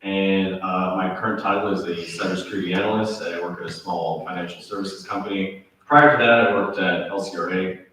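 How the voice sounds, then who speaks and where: A man's voice: deep voice, steady tone, brisk, pushed and bright. A man working as a cybersecurity analyst, introducing himself on a panel in a plain, conversational way. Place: lecture theatre. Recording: echoey room from far off.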